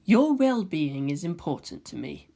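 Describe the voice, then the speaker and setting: A woman voicing a serene, courteous teenage boy with a soft, measured, polite manner in a fan fiction reading. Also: on the low side, big pitch swings, vocal fry, exaggerated voice. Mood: soft farewell.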